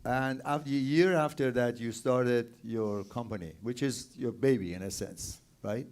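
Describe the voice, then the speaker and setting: A male interview host with a voice of average pitch. He steers the conversation with questions in an informal, conversational style. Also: unhurried, darkish tone.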